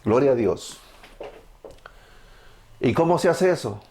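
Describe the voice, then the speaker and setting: Man, Salvadoran accent, evangelical preacher, fervent, asks and answers himself, mid pitch. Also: lively intonation, slow pace, dark tone. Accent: Salvadoran Spanish. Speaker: preacher giving testimony. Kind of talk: evangelical testimony sermon.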